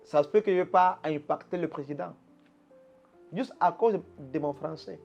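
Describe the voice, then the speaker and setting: Pastor with a higher voice, preaching earnestly. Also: male voice, normal speed, vocal fry, a little mellow, muffled recording, soft background music. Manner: restarts and repeats words, emphatic teaching tone. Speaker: pastor, interview guest. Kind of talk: earnest preaching-style answers. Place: Quebec.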